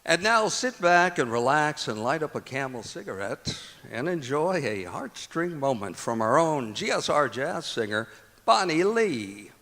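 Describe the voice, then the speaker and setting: A man's voice: on the high side, sing-song, measured pace, a bit bright, slight background noise. An American man working as announcer for a stage radio show, delivering scripted copy in an old-time radio announcing style. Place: performing arts auditorium stage, live performance. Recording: long hall reverb, music behind, live auditorium.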